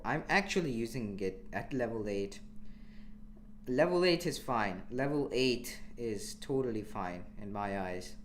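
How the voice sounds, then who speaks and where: A young man from India commentating his live game stream in a relaxed, chatty way, answering chat as he plays. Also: fairly low pitch, slight background noise. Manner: relaxed, chatty, with frequent restarts and small laughs.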